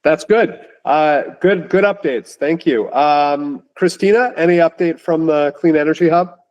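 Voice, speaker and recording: A man's voice: on the high side, a little mellow, highs cut off. A man chairing a meeting, giving brief thanks and calling on speakers. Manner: brief, slow acknowledgements.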